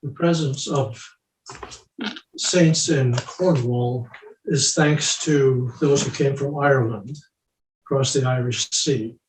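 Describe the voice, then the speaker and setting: A man's voice: medium pitch, fairly even intonation, slow, reverberant, recorded from a distance, a little hiss or hum, low-quality recording. A man on a church class video call, introducing a speaker with brief, matter-of-fact remarks. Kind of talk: casual talk on a video call.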